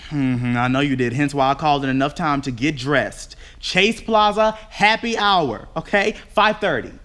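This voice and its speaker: A man's voice: fairly high pitch, normal speed, fairly bright tone, lightly acted. Man acting a campy, sassy, flamboyant friend. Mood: sassy, teasing. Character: flamboyant, teasing, sassy male friend on the phone. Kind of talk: staged dramatic reading.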